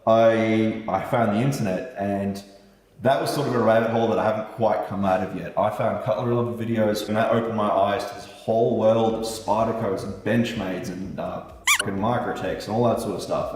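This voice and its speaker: Laid-back knife maker guy, german first language, lowish voice, sounds far from the mic. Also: steady tone, a little fast, a little mellow. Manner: laid-back, chatty, swears casually. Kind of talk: casual vlog talk to viewers. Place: room with a light set up. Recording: echoey room, mic far off.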